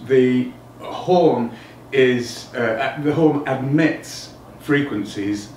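A middle-aged man giving a technical explanation as an interviewed designer, halting and thinking aloud as he goes. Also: mid-range voice, darkish tone. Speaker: loudspeaker designer being interviewed. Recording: roomy, distant mic, noticeable background noise.

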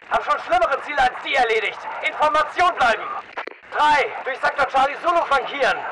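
A hardened video game soldier, pitched very high for a male voice. He fires off clipped, urgent military orders and reports with disciplined aggression. He sounds some way from the mic, with loud noise all around him. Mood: focused, commanding. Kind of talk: video game battle chatter, military barks. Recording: distant-sounding, heavy noise behind.

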